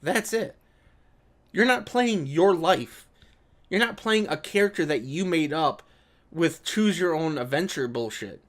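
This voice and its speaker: Higher-pitched man, sarcastic commentary. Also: animated, a little slow. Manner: sarcastic, profane, exasperated. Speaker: video creator reacting to an article. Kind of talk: casual commentary alternating with reading an article aloud.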